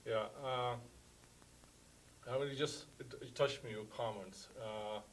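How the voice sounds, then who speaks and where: A man from Afghanistan, a parent, giving earnest, halting public comment at a school board meeting. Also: medium pitch, steady tone, a little hiss or hum, poor audio. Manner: halting, earnest, many restarts.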